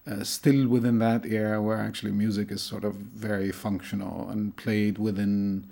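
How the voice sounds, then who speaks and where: A middle-aged man from Tunisia, a lecturer, talking thoughtfully in an interview, with many pauses and fillers. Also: lowish voice, flat delivery, gravelly, dark tone. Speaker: lecturer in Arabic studies. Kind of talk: conversational interview.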